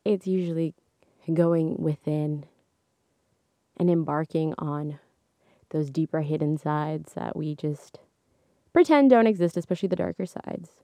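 A young woman hosting a solo podcast, chatting casually into a microphone. She laughs often, and her voice is slightly hoarse. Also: unhurried, pauses often, no room sound. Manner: chatty, laughs often, animated swings in pitch. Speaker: podcast host. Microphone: studio microphone.

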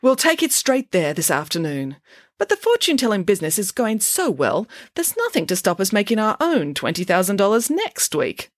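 A woman's voice: mid-range voice, huge pitch swings, moderate pace, dry recording, studio quality, pitched above the performer's own voice. A woman voicing a cheerful, wily female pig from a children's story, chatty, polite and ingratiating. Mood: eager, breezy.

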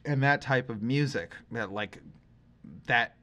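A man's voice: mid-range voice, slow, bright, forceful tone. A man in his forties chatting casually as the co-host of a podcast. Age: 40s.

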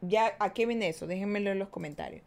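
A woman's voice: medium pitch, fast, a little mellow. Woman doing a live podcast, chatty and playful, talks right to her viewers. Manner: playful, chatty, reads viewer comments aloud. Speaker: podcast host. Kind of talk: live-streamed casual podcast talk.

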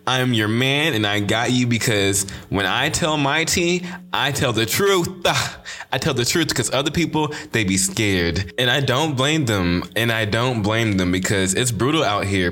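A young man with a slight lisp hosts his own podcast in casual solo talk. He is sassy and emphatic and leans on repeated catchphrases. His voice is bright and forceful. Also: medium pitch. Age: young adult. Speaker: podcast host.

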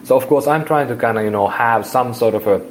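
A man's voice: mid-range voice, quick pace. A man in his forties from Finland with a Finnish accent, speaking English as a second language and rambling through an interview with many restarts. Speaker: guest. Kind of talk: casual interview.

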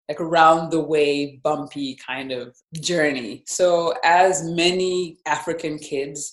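Low-voiced woman in a roomy space. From Zambia. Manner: reflective storytelling, measured. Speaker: life and business coach, guest. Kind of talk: podcast interview. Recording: roomy echo.

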